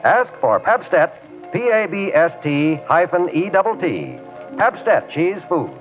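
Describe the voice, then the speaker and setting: A man's voice: high voice, sing-song, a little slow, music in the background. A man reading a 1940s radio advertisement as a commercial announcer. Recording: tinny old radio recording.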